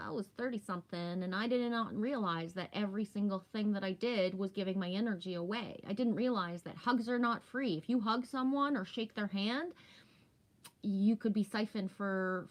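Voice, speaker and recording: A woman's voice: medium pitch, poor audio. A woman of about fifty chatting casually as a livestream host, rambling and emphatic, candid, often tagging her points with a questioning check. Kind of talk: casual spiritual livestream chat.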